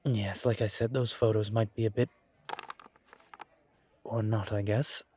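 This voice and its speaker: A man playing a composed, wry incubus investigator in a scripted roleplay audio drama, speaking in a measured, deliberate, conversational way. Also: lowish voice, steady tone, darkish tone, slightly rough. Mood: serious, measured. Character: composed, wry male incubus demon investigator, politely self-assured.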